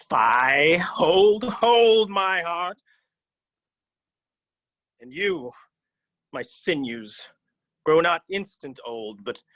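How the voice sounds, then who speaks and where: Guy doing anguished vengeful prince, shakespeare soliloquy, super slow, tense sharp voice, intense. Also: fairly high pitch, no room sound, full character voice. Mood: shocked, anguished. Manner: declamatory, broken, intense. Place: remote reading over video call.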